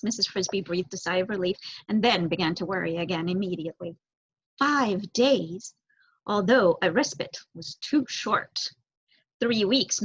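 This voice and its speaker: A young woman with a husky voice reading a children's novel aloud as scripted narration. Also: on the low side. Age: young adult. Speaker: audiobook reader, student volunteer. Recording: dull lo-fi recording.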